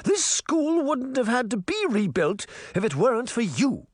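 A man doing a shrill raging queen. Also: very animated, measured pace, no room sound, clean studio sound, full character voice. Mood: ranting. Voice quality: high, strident, raging. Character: shrill pompous fairy-tale queen, middle-aged, ranting. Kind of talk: audiobook character voice.

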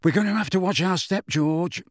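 Man doing a sarcastic teenage prankster, animated. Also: a little high, unhurried, no room sound, clean studio sound, pitched above the performer's own voice. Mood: mock fearful. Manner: sarcastic, mock-anxious. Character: mocking, mischievous teenage twin brothers, sarcastic pranksters.

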